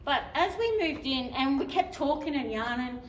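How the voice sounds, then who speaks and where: Woman, fairly high voice, chatty conference storytelling, fillers, echoey hall, distant mic. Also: expressive, moderate pace. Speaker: community member presenter. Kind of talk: conference presentation. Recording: echoey room, mic at a distance, faint noise.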